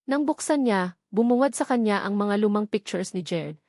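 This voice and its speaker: A woman narrating a scripted romantic drama story in a measured, dramatic storytelling manner. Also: medium pitch, expressive, mellow, no echo, clean studio sound.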